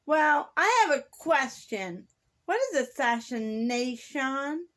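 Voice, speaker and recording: A woman's voice: high-pitched, animated, takes it slowly, a bit bright, heavily acted. A woman voicing a sweet, timid, childlike dinosaur friend who speaks simply and earnestly in a children's storytime. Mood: curious. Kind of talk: children's storytime puppet-style dialogue.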